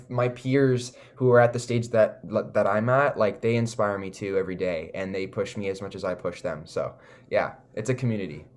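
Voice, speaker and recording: A young man with a Canadian accent chatting casually and earnestly as an interview guest, leaning on "like" and "you know". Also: on the low side. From Toronto, Canada. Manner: earnest, filler-heavy with frequent "like" and "you know". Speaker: recording artist, interview guest.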